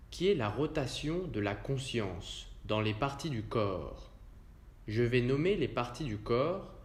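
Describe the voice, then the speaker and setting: A male yoga teacher guides a relaxation session in a roomy, echoing space. His delivery is very unhurried and calm, placed in short, separate phrases. His voice sits at an average male pitch. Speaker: yoga nidra instructor. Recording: roomy echo around the voice.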